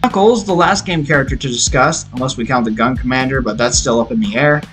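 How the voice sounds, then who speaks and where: A man's voice: medium pitch, quick pace, fairly bright tone. A young adult man delivering a scripted movie review as an enthusiastic, opinionated narrator. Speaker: video reviewer. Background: background music.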